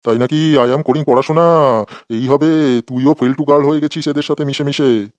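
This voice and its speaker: Irritable male cartoon teacher played by a man, sarcastic scolding, steady mid pitch, noisy recording with clicks. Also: normal speed, dull audio, lightly acted. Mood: stern, mocking. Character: irritable, threatening male schoolteacher scolding his pupils. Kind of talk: exaggerated comic cartoon dialogue.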